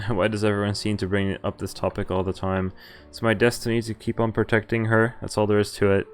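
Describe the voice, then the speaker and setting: A man whose native language is Dutch speaks in a slightly low voice as a game streamer. He reads story text aloud and drops in casual remarks, stumbling on the odd word. Faint music plays behind him. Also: level tone, fairly quick, smooth. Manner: stumbles over words while reading, comments to himself. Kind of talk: reading a visual novel aloud with casual live reactions.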